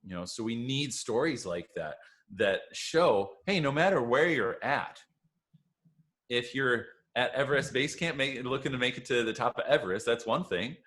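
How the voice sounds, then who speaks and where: A man's voice: mid-range voice, expressive, moderate pace, fairly bright tone. A man, a health coach and guest, talking enthusiastically and emphatically, often checking in with "right?" and calling his host "man". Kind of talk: conversational interview.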